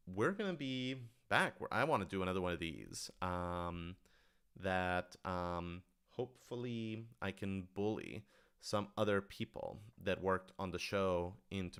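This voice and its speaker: A man's voice: on the low side, a bit bright, clean tone. A man hosting a casual livestream Q&A as a writer-director, rambling and thinking aloud as he talks to his audience.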